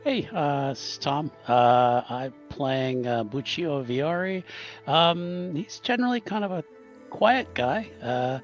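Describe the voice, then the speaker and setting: A man's voice: a little high, expressive, slow pace, dull audio, soft background music. A man casually and hesitantly introducing his tabletop game character, self-effacing as he talks.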